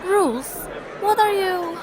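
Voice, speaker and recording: A woman's voice: very high-pitched, animated, slow, crowd noise, exaggerated voice. A woman playing a frightened, bewildered young female office worker in an audio drama. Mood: alarmed.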